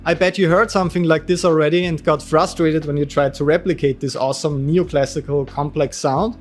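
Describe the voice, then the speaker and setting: A man with an Austrian accent, teaching an online guitar lesson in an upbeat, encouraging way. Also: fairly high pitch.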